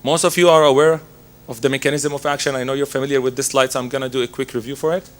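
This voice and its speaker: A man with laryngitis, hoarse, giving a methodical medical lecture as a pharmacist, working through his slides. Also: mid-range voice, level tone, racing.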